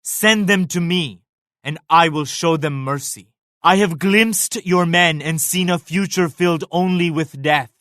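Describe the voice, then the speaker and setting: An actor playing a proud, pious Mughal emperor in a video game, making grave, measured and lofty pronouncements. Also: male voice, on the high side, fairly bright tone, no echo, clean studio sound. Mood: stern warning. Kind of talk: video game character voice lines, formal declamation.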